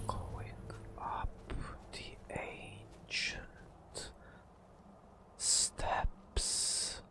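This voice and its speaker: Male ASMR storyteller, breathy whisper, extremely slow and theatrical, with wide swings in pitch. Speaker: gaming ASMR host. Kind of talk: ASMR narration.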